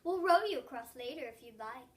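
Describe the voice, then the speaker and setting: Young girl as a helpful high-voiced farm boy. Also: lively intonation, a little fast, distant mic. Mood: friendly, helpful.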